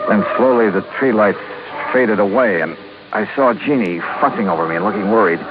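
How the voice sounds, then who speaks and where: A man's voice: lowish voice, fairly quick, heavy background noise, lightly acted. Hard-boiled radio detective, acted by a man, muffled old recording. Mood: hard-boiled narrating. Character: tough, wry male insurance investigator narrating his case. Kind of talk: 1950s radio detective acting.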